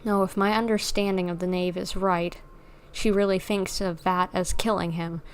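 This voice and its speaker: A woman's voice: lowish voice, level tone, normal speed, a little hiss or hum. A woman livestreamer giving casual game commentary, reflecting and thinking aloud.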